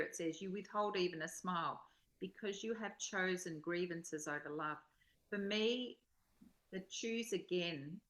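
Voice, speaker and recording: A woman's voice: fairly low pitch, a bit bright, rough recording. A woman in a spiritual study group, sharing reflectively and reading aloud in an earnest, introspective way.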